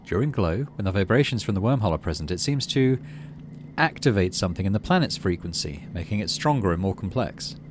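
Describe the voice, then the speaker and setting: A man's voice: low voice, animated, quick pace, background noise. A man hosting a gaming video, reading story text aloud and adding muttered asides as he plays.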